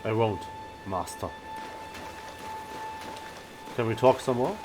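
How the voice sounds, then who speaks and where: A man's voice: on the low side, takes it slowly. A man giving casual gameplay commentary, reacting as a player to game dialogue. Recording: game music behind the voice.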